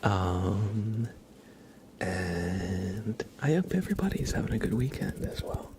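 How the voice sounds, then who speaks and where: A man doing casual ASMR chat as a live streamer, murmuring and whispering softly and gently, with trailing pauses. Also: sing-song, very noisy. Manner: soft, breathy whispering and low murmuring.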